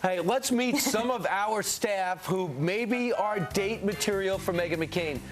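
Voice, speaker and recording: A man's voice: sits high, measured pace, music in the background. A man co-hosting a morning TV show from the studio, introducing the guest in a breezy, playful way. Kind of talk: morning TV banter.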